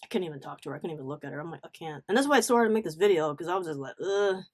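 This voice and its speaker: A woman giving a casual, confessional storytime as a vlogger, animated and emphatic, slangy, acting out what people said. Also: on the low side, very fast. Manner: animated, emphatic, acts out reported dialogue; informal slangy talk with swearing. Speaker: vlogger telling a personal story.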